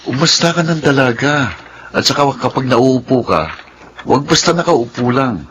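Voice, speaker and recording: An actor playing a bossy, teasing, protective older brother figure in a Filipino radio drama, with a chiding, sarcastic manner. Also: male voice, medium pitch, expressive, normal speed, fairly bright tone, a little hiss or hum, natural voice.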